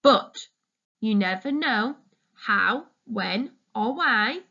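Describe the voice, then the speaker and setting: Female storytime reader for young children: bright, very lively intonation, expressive and deliberate, on a lo-fi home recording. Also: on the high side, vocal fry. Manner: deliberate, addressing young listeners with questions. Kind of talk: expressive children's picture-book read-aloud.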